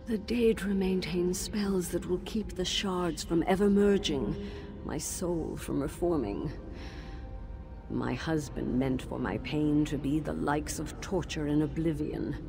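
The lingering shade of an elven sorceress in a fantasy game, speaking in a lower, measured and regal voice. Her grief comes through a formal, stately delivery, and a stern, sharp edge shows when she scolds. Orchestral game music swells beneath her. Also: gravelly. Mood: solemn, grateful. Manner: solemn, measured and regal; shrill scolding in memories. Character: elven mage teacher's shade, sorrowful and stern. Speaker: video game character. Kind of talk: game cutscene voice acting.

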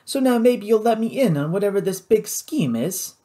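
Casting: male narrator as hot-headed pirate boss, indignant and scolding, raised pitch with wild swings, adventure audiobook. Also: animated, normal speed, mellow. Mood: scowling, accusing. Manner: indignant, scolding, peppered with French exclamations.

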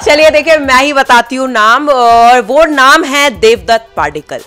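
A woman's voice: high-pitched, lively intonation, measured pace, over music. A young woman hosting a studio sports discussion, posing questions to the panel and leading the talk into a handheld mic. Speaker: host. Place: indoor TV studio set.

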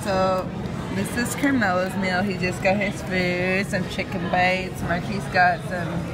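A woman's voice: lowish voice, fairly even intonation, measured pace. A woman in her twenties casually narrating a family dinner vlog to her phone, talking to viewers and minding her kids. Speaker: vlogger. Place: busy indoor restaurant. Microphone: phone at arm's length. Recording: loud crowd and music behind. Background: restaurant chatter and music.

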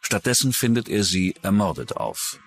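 A man's voice: on the low side, very animated, normal speed, soft background music. A man reading scripted narration for a true-crime documentary.

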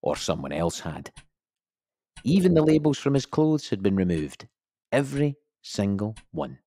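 An actor playing a middle-aged Scottish police inspector who gives measured, wry, matter-of-fact testimony in an audio drama. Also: male voice, lowish voice, very animated, dark tone, no room sound, studio quality. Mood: matter-of-fact, reflective. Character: dry, methodical male Scottish police inspector.

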